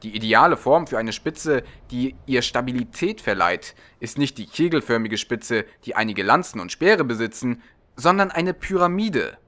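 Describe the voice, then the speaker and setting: A man's voice: medium pitch, lively intonation, normal speed, a bit bright. A man narrating a storybook from a script as an audiobook reader.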